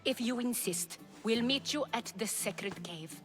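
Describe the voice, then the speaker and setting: Proud, high-voiced Greek leader. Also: female voice, expressive, unhurried, music in the background. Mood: guarded. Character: proud, commanding female leader in ancient Greece. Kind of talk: video game cutscene acting.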